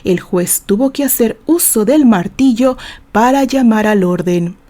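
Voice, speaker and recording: A woman's voice: a little high, lively intonation, a little slow, dark tone. A woman reading a scripted dramatic novel aloud as its narrator. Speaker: author and narrator.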